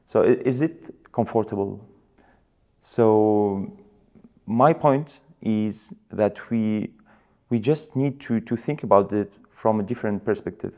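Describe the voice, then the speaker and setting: A young man from France, whose mother tongue is Suret, giving thoughtful interview answers and often pausing to search for words. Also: fairly low pitch, warm tone. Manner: reflective, searching for words with many fillers. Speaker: guest, founder.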